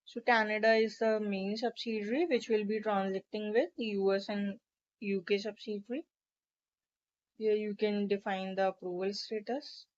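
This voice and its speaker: A woman narrating a step-by-step software tutorial in an instructional, explanatory way. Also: medium pitch, flat delivery, moderate pace. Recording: dull, lo-fi microphone sound.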